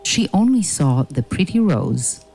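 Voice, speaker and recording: Slow, measured male storyteller. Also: fairly high pitch, sing-song, gravelly, a little mellow, soft background music, low-quality recording. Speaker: narrator. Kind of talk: scripted storybook narration.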